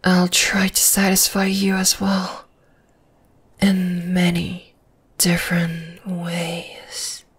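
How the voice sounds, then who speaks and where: A woman voicing a possessive, gently dominating spider monster girl in an ASMR roleplay, in a slow, deliberate, breathy whisper. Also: on the low side, monotone, exaggerated voice. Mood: possessive, coaxing. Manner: slow, deliberate, soothing yet menacing.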